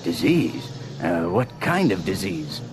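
An actor playing a gruff, impatient supervisor in a radio drama, brusque and bossy. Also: male voice, low-pitched, big pitch swings, takes it slowly, music in the background, full character voice. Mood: irritated, suspicious.

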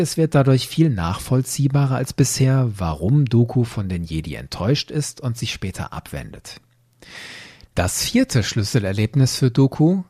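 Male podcast host, mid-pitched, lively intonation, slightly husky mellow tone, explanatory scripted narration. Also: dry recording, studio quality. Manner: explanatory, poses rhetorical questions.

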